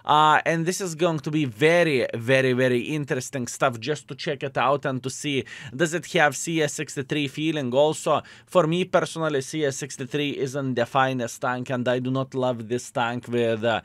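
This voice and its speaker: A man's voice: mid-range voice, fairly even intonation, normal speed, few pauses, fairly bright tone, no echo. Lithuanian-accented man, chatty game commentary. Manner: chatty, exclamatory, addresses viewers as fellas. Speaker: gaming video host. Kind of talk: casual gameplay commentary.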